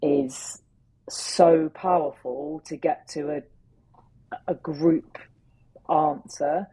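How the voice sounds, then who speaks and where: A woman's voice: low-pitched, sing-song, very slow, vocal fry, mellow, husky, poor audio. A woman answering interview questions as a workshop facilitator, earnest and thoughtful, talking in long flowing sentences. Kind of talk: reflective conversational interview answers.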